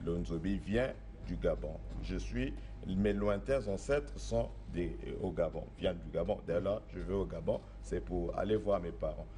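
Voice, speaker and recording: A man's voice: deep voice, moderate pace, few pauses, warm tone, a little hiss or hum. A middle-aged man from Congo, a traditional spiritual master, answering interview questions in an assertive, insistent way that repeats points. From Republic of the Congo.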